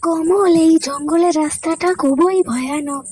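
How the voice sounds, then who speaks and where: A woman's voice: very high-pitched, measured pace. A woman voicing a cautious cartoon character giving worried warnings.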